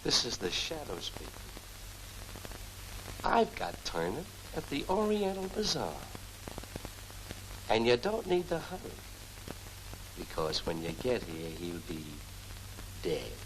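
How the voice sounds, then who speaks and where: An actor playing a tough, menacing gangster with a brusque delivery in a 1940s movie serial. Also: male voice, mid-range voice, huge pitch swings, slow, pauses often, fairly bright tone, exaggerated voice. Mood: menacing, taunting. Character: taunting male gangster henchman, tough and menacing. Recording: noisy 1940s film soundtrack.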